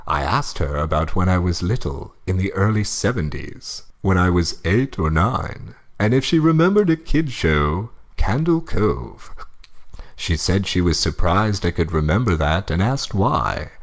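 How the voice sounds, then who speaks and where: A man's voice: sits low, lively intonation, a little slow. A man playing a pompous, fussy old husband reading a horror story aloud for comedy. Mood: dramatic reading. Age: older.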